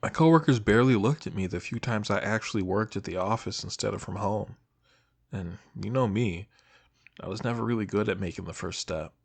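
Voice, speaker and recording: A man acting as a shy, nostalgic old high school best friend, talking casually and hesitantly to the listener in an intimate audio roleplay. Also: brisk, vocal fry, slightly rough. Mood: wistful, hesitant. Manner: hesitant, casual, soft-hearted, trailing off.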